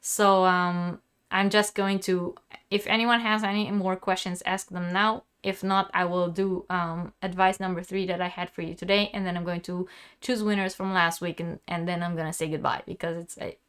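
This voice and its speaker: Hoarse-sounding woman teaching crochet live. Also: on the low side, fairly even intonation, normal speed, fairly bright tone. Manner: chatty, restarts often, answers viewers directly. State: sick, sore throat, losing her voice. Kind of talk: live-stream crochet lesson with chat questions.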